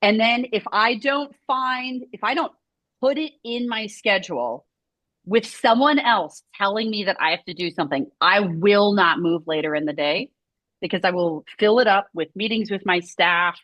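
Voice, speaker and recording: A woman's voice: medium pitch, normal speed, a bit bright, no room sound, poor audio. A woman who runs a scoliosis movement studio, chatting casually and rambling with lots of "like" fillers. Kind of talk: casual video chat conversation.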